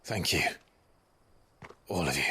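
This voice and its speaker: Kalın sesli, dertli savaşçı. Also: male voice, deep voice, sharp tone, slight background noise, full character voice. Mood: resolute. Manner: solemn, deliberate. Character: brooding, earnest young warrior, burdened but resolute. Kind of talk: video game cutscene acting. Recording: music behind the voice.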